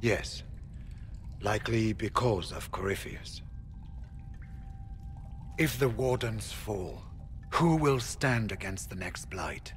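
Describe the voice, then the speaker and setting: Casting: duty-bound veteran warrior for fantasy game, solemn, formal delivery, lowish pitch. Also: male voice, lively intonation, slow, long pauses. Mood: ominous, resolute. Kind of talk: dramatic fantasy game acting.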